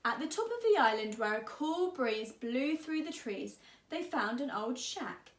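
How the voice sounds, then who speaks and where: Slow, higher-voiced woman teacher, echoey room. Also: lively intonation, slight background noise. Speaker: teacher reading to pupils. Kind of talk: expressive children's story reading.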